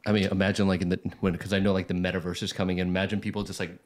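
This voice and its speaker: A man's voice: low-pitched, flat delivery, rapid-fire. A man hosting a casual podcast conversation, joking around and tossing in short agreements. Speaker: podcast host. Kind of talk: casual chat.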